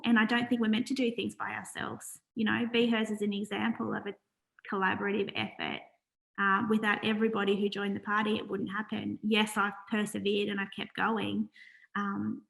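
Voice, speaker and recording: Quiet earnest woman guest, a bit gravelly, lots of ums. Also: mid-range voice, little pitch movement, rough recording. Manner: soft-spoken, earnest, halting with fillers. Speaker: charity founder, guest. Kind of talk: casual interview talk.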